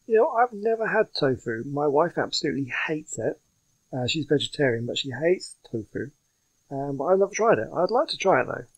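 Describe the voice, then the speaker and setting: A man's voice: a little high, big pitch swings, a little fast, dull audio. A man giving casual, chatty commentary as he plays a game, thinking aloud and reading choices out. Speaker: gaming streamer.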